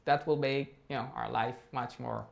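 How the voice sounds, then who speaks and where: A man's voice: medium pitch, steady tone, moderate pace, gravelly. A man giving a technical talk and answering audience questions, speaking as a with frequent fillers and restarts. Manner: hesitant, many fillers and restarts. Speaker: tech talk presenter.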